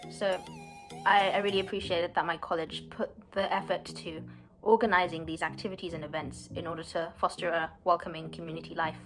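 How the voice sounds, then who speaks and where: A young woman in a soft, earnest way, sometimes pausing on fillers. Her voice sits a little low for a woman. Music plays clearly beneath her throughout. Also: level tone. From London, England. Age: 20s. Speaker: university student. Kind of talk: personal story, read to camera.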